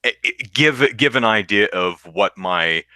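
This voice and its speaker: A man with a deep bass voice chats loosely on a podcast, stumbling through restarts as he goes. His tone swings widely and has a strikingly bright, forward edge. The sound is dry and close. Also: lively intonation, unhurried, no room sound. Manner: rambling, self-interrupting with restarts, sings low demo notes. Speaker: podcast co-host. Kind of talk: casual chat.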